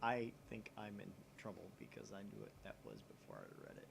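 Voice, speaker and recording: A man's voice: sits low, a little fast, creaky. A young man hosting a gaming show, mixing visual novel narration with casual, joking chat. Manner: joking, animated asides. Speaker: gaming channel host. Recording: faint background noise.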